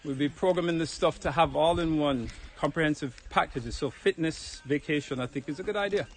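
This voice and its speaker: A man's voice: fairly high pitch, fairly quick, a little hiss or hum. A man with a Jamaican accent chatting casually to viewers on a livestream while walking uphill outdoors, a bit out of breath. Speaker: livestreaming fitness vlogger. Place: outdoors on a hill road. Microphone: phone held while walking.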